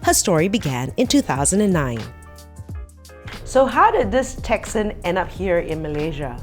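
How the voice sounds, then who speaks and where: A woman hosting a feature, narrating a scripted introduction and asking interview questions with a measured, clear presenter delivery. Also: lowish voice, sing-song, soft background music. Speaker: host and interviewer.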